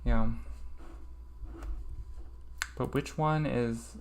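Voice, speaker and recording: A man's voice: mid-range voice, fairly even intonation, drawn-out words, creaky, darkish tone, soft background music. A man streaming a casual study session, thinking aloud hesitantly with lots of ums.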